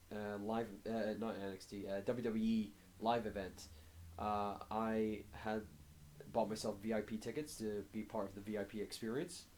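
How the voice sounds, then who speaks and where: A man with a cold whose voice comes out hoarse and low-pitched, giving a casual update straight to camera. He speaks very slowly and haltingly, stopping often to fill the gaps. A faint hiss of noise sits behind him. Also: a little mellow. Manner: halting, many filler pauses. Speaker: fitness vlogger.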